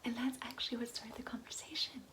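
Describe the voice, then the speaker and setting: A woman's voice: a little high, little pitch movement, quick pace. Whispering woman, chatty ASMR roleplay. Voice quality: breathy whisper. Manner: chatty, girlish, rambling asides with "like". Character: ditzy, materialistic gold-digger giving a class. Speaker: ASMR roleplayer playing a class instructor.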